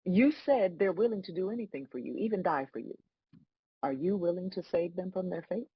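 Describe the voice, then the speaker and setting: A woman playing God as a stern, composed judge, delivering measured, scolding lines in a dramatic script read. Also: fairly low pitch, lively intonation, breathy edge, no room sound, lo-fi sound. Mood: firm, measured.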